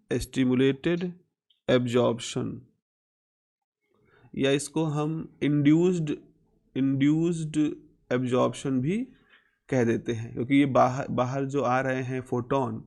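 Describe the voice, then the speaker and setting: A man's voice: medium pitch, gravelly, a little mellow. A man with an Indian accent lecturing as a physics teacher, explaining step by step and repeating key phrases.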